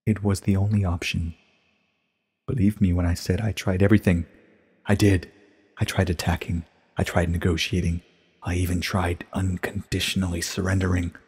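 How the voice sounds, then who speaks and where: A man voicing an ancient, regretful pony princess in a dramatic story reading, grave and measured, with a soft husky voice. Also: low voice, in a large hall. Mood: grave confession.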